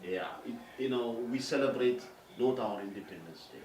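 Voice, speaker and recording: A man in his forties from Ethiopia, an airline regional director answering interview questions haltingly with many fillers and restarts. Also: mid-range voice, lively intonation, a little mellow. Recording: distant roomy mic with background noise.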